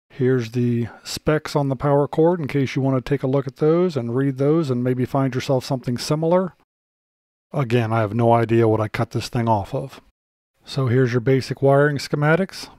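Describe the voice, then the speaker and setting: A man's voice: mid-range voice, darkish tone, no room sound, clean studio sound. An American man giving a casual, matter-of-fact hands-on product demonstration. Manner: matter-of-fact, unscripted narration. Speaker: product reviewer and presenter.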